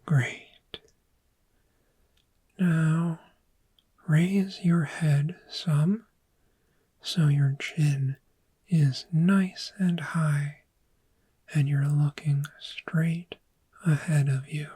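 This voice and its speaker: A woman voicing a calm, patient dragon therapist in a gentle, breathy, soft-spoken ASMR roleplay, with measured, reassuring instruction. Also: low voice, heavily acted. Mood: calm guiding. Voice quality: breathy, whispery.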